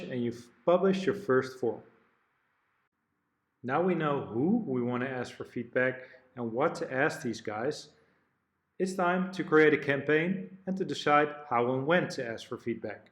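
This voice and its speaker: A man talking through a software product demo one step at a time. His voice sits at a middle pitch and moves along in a plain, explanatory way. Now and then he stumbles and restarts a word. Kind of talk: software walkthrough narration.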